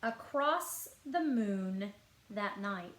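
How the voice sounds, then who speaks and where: A woman reading a picture book aloud to children as a librarian, with expressive, deliberate phrasing. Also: mid-range voice, slight background noise. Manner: expressive storytelling, clear deliberate phrasing, asks listeners questions. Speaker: school librarian. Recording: roomy echo.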